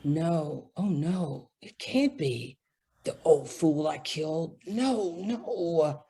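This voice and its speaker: A woman playing a guilt-crazed male murderer in a radio drama, halting and pleading as he repeats himself in his breakdown. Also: deep voice, expressive, mellow, slightly rough, exaggerated voice. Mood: horrified disbelief. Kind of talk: old-time radio drama acting.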